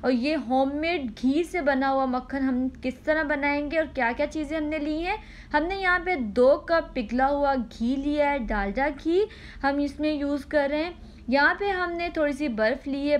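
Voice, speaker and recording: A high-pitched woman walks viewers through a home recipe, unhurried and repetitive, with small "ah" pauses. A faint noise floor sits under her voice. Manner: patient, repeats instructions, frequent "ah" pauses. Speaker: cooking channel host. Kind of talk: step-by-step cooking demonstration.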